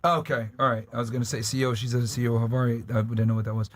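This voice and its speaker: A man's voice: mid-range voice, little pitch movement, very fast, a little hiss or hum. A man hosting a podcast, putting casual, blunt questions to guests in slangy speech. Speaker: podcast host.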